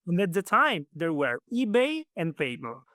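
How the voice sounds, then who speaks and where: A man's voice: high voice, big pitch swings, normal speed, bright, forceful tone, dry recording, clean studio sound. A man in his thirties from Italy with an Italian accent and Italian as his first language, answering interview questions casually with many fillers and restarts. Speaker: guest.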